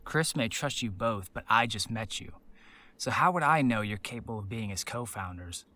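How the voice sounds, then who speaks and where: Low-voiced, skeptical young music producer. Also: male voice, moderate pace, bright, forceful tone. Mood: skeptical, stern. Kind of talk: visual novel voice acting.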